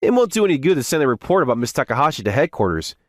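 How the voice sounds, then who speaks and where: A man's voice: mid-range voice, very animated, very fast, dry recording, studio quality, natural voice. A man playing an earnest young office worker who narrates and voices his story in a manga dub. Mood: confident, firm. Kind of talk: scripted first-person manga recap narration and dialogue.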